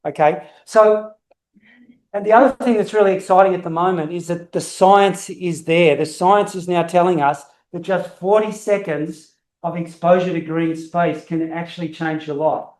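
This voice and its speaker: An older man giving a talk to a club meeting, projecting his booming voice across the room without a working microphone. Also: steady tone, moderate pace, darkish tone, roomy sound. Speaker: guest speaker. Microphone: unreliable handheld mic, then unamplified.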